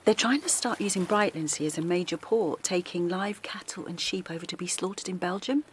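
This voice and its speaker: A woman plays a kindly middle-aged mother who chats easily and reassures, with a quiet undercurrent of worry. Her voice sits a little low, with a faint outdoor hum behind her in this audio drama. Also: a little fast, natural voice. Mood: warm reassuring. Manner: reassuring, chatty, quietly worried. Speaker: campaigner. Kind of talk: naturalistic audio drama acting. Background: faint outdoor seaside ambience.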